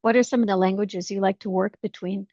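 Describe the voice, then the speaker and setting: A woman interviewer asking measured, gentle questions about academic fields. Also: medium pitch, fairly even intonation, no room sound. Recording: dry, slightly muffled.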